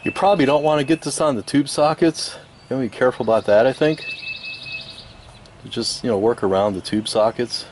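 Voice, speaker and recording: A man giving a casual hands-on restoration demonstration, musing aloud in an unhurried, hesitant way as he works. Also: mid-range voice, darkish tone, slight background noise. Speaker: radio restoration hobbyist.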